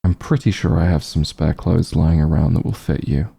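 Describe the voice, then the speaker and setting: A man playing a gentle, concerned doctor who is an old friend, speaking slowly and soothingly in a soft ASMR roleplay, with a low, husky voice. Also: full character voice. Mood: firm, caring. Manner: slow, soothing, reassuring.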